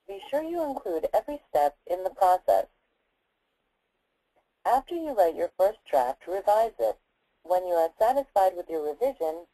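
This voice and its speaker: A woman reads formal educational narration with a very muted, dark tone. Her intonation is lively yet measured, and the sound is dry, as video playback into a call. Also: fairly low pitch, no echo, low-quality recording.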